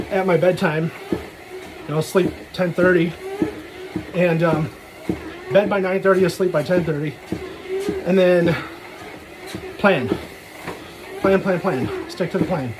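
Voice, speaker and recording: A man's voice: high-pitched, steady tone, slow, long pauses, background noise. A man giving a casual, rambling solo vlog monologue in frank, slangy, sweary talk. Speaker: solo vlogger.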